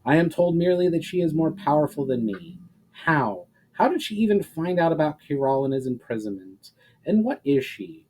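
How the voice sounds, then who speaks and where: Indignant elf hero, read by a man, determined, demanding, slightly high male pitch, fantasy audiobook. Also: big pitch swings, moderate pace, darkish tone, slight background noise, low-quality recording. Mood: angry, frustrated. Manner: insistent, demanding questioning.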